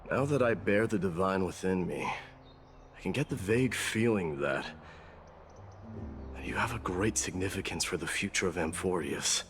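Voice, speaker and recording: An actor playing a solemn, reserved warrior prince and guardian in a video game, speaking formally and earnestly. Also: male voice, sits low, normal speed, a little hiss or hum. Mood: solemn, grateful. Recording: loud music behind.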